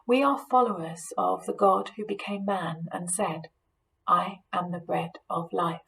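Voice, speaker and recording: A woman with a British accent delivers a measured, scripted church lecture in a reflective sermon style. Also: lowish voice, fairly even intonation, creaky, fairly bright tone, rough recording.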